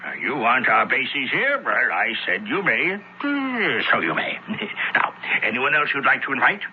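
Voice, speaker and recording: A man's voice: medium pitch, wildly swooping pitch, unhurried. Blustering, swooping merchant father. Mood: flustered. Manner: hesitant, chuckling bluster. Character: jovial, blustering merchant father, indulgent and flustered. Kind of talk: old-time radio drama acting. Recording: dull, lightly noisy old radio recording.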